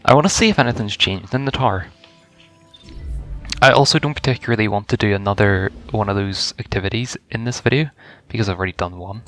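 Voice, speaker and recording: A man's voice: fairly low pitch, fast talker, fairly bright tone, soft background music. A man giving casual first-reaction commentary on a video game, rambling and thinking aloud as he goes. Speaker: gaming video host.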